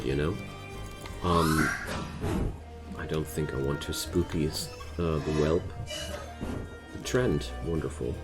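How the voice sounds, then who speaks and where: A man with a German accent giving casual gameplay commentary, thinking aloud as he plays. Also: a bass voice. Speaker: gaming video creator. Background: music.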